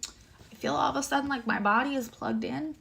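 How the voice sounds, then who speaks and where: A woman tarot reader and astrologer with a low voice, giving a livestream reading, chatty and emotional, addressing viewers by name. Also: brisk, creaky, a bit bright.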